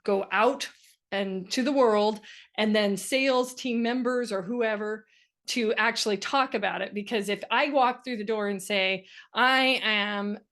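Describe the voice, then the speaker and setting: A woman's voice: mid-range voice, fairly bright tone. A woman hosting a podcast interview, enthusiastic and emphatic, laughing often. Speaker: podcast host. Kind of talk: casual interview chat.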